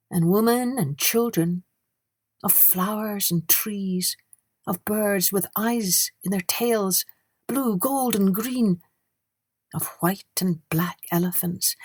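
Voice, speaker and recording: A woman narrating a children's story in an expressive, deliberate, dramatic way. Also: medium pitch, slightly rough. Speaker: storyteller and podcast host.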